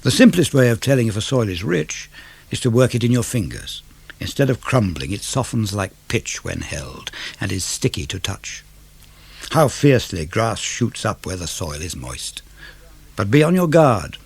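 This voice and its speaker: A man reading poetry for radio in a measured, declamatory way, with rising and falling phrases. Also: on the low side, creaky, slightly rough. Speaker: poetry reader. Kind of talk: dramatic radio verse reading.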